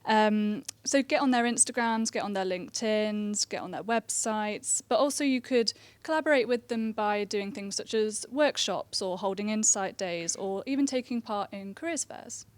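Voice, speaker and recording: A young woman in her twenties speaks softly in a fairly high voice, giving a conference talk. Her delivery is quiet but steady. The sound is dry and close, with little room echo. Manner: soft-spoken, quiet voice. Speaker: careers assistant, panel speaker. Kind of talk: conference presentation.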